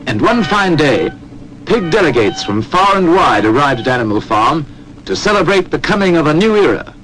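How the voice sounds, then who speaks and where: A man giving formal, measured, scripted storytelling narration for a 1950s animated film. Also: a little high, slight background noise, rough recording.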